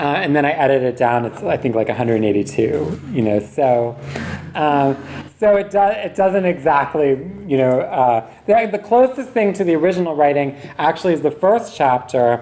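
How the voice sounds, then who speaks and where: Very low-voiced woman author speaking candidly. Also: mellow, poor audio. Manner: meandering, emphatic, thinks aloud with frequent asides. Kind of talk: candid question-and-answer talk about writing. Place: university reading event, live before listeners. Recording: noticeable background noise, live event recording.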